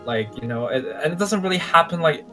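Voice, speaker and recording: A man playing an unsure young time-traveling mage in a game roleplay, talking in a halting, rambling way. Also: on the high side, animated, sharp tone, faint music behind. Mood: hesitant, unsure. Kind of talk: improvised video game roleplay.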